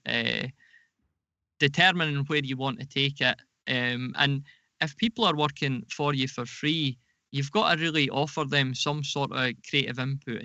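A man giving casual, explanatory interview answers as a podcast guest, speaking in a measured way with some hesitation. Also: mid-range voice, sharp tone, no room sound, lo-fi sound. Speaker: podcast guest, audio drama producer.

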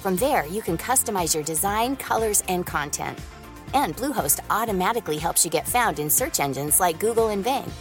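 Lively woman reading an ad. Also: medium pitch, normal speed. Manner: upbeat, polished sales delivery. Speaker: ad announcer. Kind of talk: scripted ad read. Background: faint music.

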